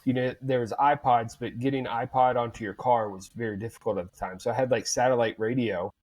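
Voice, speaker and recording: A man's voice: medium pitch, steady tone, brisk, darkish tone, rough recording. Rambling man chatting on a podcast. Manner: rambling, many fillers and restarts. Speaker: podcast co-host. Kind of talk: casual chat.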